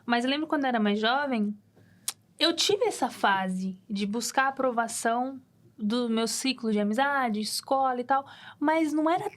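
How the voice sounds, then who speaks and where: A woman's voice: a little high, normal speed. A woman, hosting a studio podcast and thinking aloud in a casual, reflective way, with restarts and open questions. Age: 20s. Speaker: podcast host. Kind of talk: casual chat.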